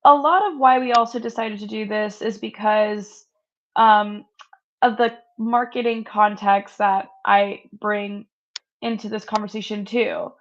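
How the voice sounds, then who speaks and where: A woman co-hosting a real estate webinar, chatty and relaxed, her voice a little hoarse from a cold. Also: medium pitch, unhurried, lo-fi sound. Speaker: marketing co-host. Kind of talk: casual webinar chat.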